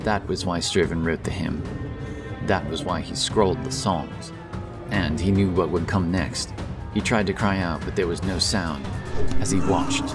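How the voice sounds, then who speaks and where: A man's voice: low-pitched, far from the mic. A man giving a dramatic scripted narration of a fantasy story. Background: loud epic background music.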